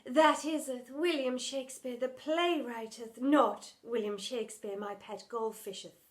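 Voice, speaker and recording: Woman acting out a melodramatic, high-pitched drama teacher. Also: fairly even intonation, measured pace, close to an everyday voice. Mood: haughty, matter-of-fact. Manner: theatrical, wobbling with emotion, mock-archaic speech. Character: melodramatic, gushing female drama teacher speaking mock Shakespearean. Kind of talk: children's storybook character voice.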